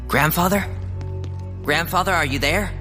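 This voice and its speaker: A man's voice: on the high side, normal speed, over music, close to an everyday voice. A young man acting an earnest, irritable teenage boy hero in an audio drama, pressing and emotive. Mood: urgent, hopeful.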